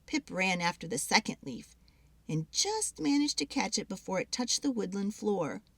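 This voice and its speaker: Very animated, breathy woman reading a storybook. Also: mid-range voice, moderate pace, no echo. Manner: expressive storytelling. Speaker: children's storybook reader. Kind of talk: dramatic scripted read-aloud for children.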